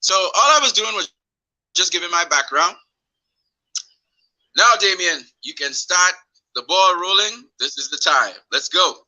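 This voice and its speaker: A man's voice: high-pitched, lively intonation, unhurried, pauses often, sharp tone, low-quality recording. A man from Trinidad and Tobago hosting a casual livestream chat on his phone, rambling and repeating words as he goes. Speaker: livestream host.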